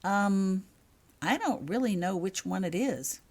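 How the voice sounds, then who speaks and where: Lady reading as a shy curious little schoolgirl, kinda halting and unsure, storybook. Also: medium pitch, lively intonation, a little mellow. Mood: nervous, unsure. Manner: hesitant, halting. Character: timid, curious young schoolgirl.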